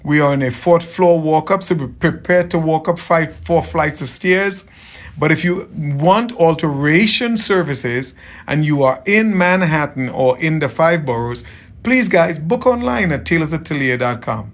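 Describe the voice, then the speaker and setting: A man's voice: a little high, animated, unhurried. Trinidadian man chatting on a livestream. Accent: Trinidadian, softened toward American. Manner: friendly, repeats phrases, greets viewers by name. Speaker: tailor hosting a livestream. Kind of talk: casual livestream chat.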